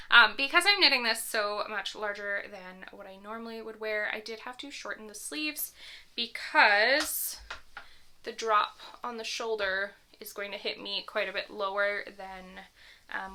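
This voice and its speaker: A woman's voice: medium pitch, pushed and bright. A young woman hosting a knitting podcast in a casual, rambling chat with small laughs, her voice a little strained after a concert. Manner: chatty, rambling, with little laughs and self-corrections.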